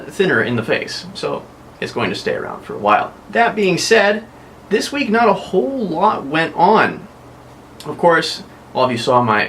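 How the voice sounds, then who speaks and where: A man's voice: mid-range voice, a bit bright, a little hiss or hum. A young American man hosting a casual weekly vlog, chatting and rambling with frequent self-corrections. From United States. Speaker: vlog host.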